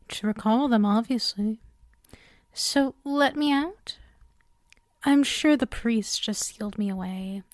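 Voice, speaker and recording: A woman playing a lonely, coaxing female demon in an intimate ASMR roleplay, speaking softly and hesitantly, often dropping into a whisper. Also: fairly high pitch, no room sound, clean studio sound. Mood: defensive, pleading, then pleading, apologetic.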